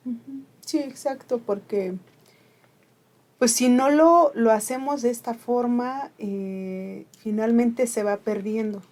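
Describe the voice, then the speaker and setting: A woman's voice: fairly high pitch, takes it slowly, darkish tone. A middle-aged Mixe woman with a Mixe accent and Ayuujk as her first language, talking earnestly and reflectively on a radio program, with frequent fillers. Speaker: radio host. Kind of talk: conversational radio talk.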